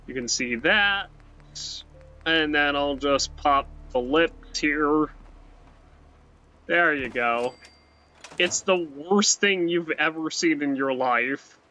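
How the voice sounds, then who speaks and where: A man's voice: a little high, slow pace, long pauses, bright, forceful tone. A man giving rambling, casual commentary while playing a game, often tacking on "right?" and restarting his sentences. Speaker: let's play host. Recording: muffled with faint background hiss.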